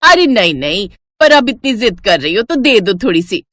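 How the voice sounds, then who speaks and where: A woman voicing a pushy, chatty middle-aged neighbor woman who always begs for food, with a shrill wheedling tone. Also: big pitch swings, moderate pace, fairly bright tone, no echo, studio quality.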